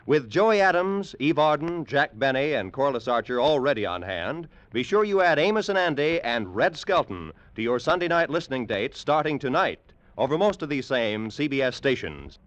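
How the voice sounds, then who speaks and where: A man's voice: mid-range voice, big pitch swings, moderate pace, dry recording. A man giving a polished, promotional 1940s network radio announcement.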